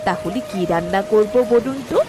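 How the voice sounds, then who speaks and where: A woman's voice: mid-range voice, fairly quick, lightly acted. A woman voicing a fretful, snappy village housewife in a cartoon, with music behind. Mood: worried. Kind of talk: cartoon dialogue.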